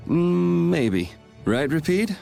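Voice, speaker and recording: A man's voice: fairly high pitch, big pitch swings, takes it slowly, vocal fry, mellow, faint music behind, exaggerated voice. A man playing a cool, easygoing young swordsman in an anime-style video game, speaking in a casual, dry way.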